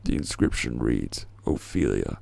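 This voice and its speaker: A man playing a grim, brooding masked vigilante detective in a video game fan dub, speaking tersely and deliberately in a deep, gravelly voice. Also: expressive, a little mellow, soft background music, exaggerated voice. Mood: clinical, grim.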